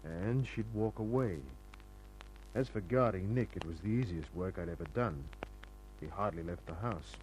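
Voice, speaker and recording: An actor playing a tough, cool private detective in an old-time radio drama, laconic and hard-boiled, narrating and talking. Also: male voice, deep voice, moderate pace, a little mellow, slight background noise, close to an everyday voice, poor audio. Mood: dry, reflective. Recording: old radio recording, music behind.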